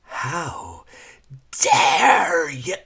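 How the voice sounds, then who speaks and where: A man's voice: fairly high pitch, sing-song, extremely slow, gravelly, pushed and bright, slightly rough, pitched above the performer's own voice, full character voice. A man voicing a fierce young Scottish woman warrior who sneers out insults. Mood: sneering.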